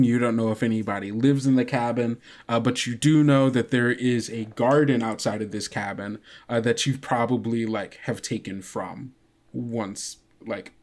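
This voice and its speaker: A man narrating a tabletop game as game master, informal and halting as he improvises the scene. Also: medium pitch, a little mellow.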